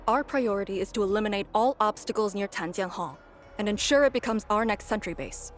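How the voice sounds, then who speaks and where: An actress playing a solemn, battle-worn woman commander in a video game, speaking in a grave, measured, formal way. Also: medium pitch, close to an everyday voice. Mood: commanding, grave. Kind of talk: dramatic video game story dialogue. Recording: game music behind the voice.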